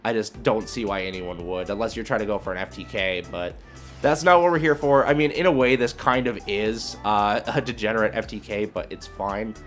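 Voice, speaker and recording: A man's voice: lowish voice, a little fast. Casual man commentating over background music. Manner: informal, thinking aloud step by step. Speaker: video creator commentating on own card game play.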